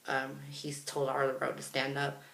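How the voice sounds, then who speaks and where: American guy chatting through a vlog story, kinda gravelly voice, echoey room, rambles a bit. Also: mid-range voice, completely flat delivery, a little slow, sharp tone. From Kansas, United States. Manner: chatty, rambling, self-correcting. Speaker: vlogger. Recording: roomy, echoey sound.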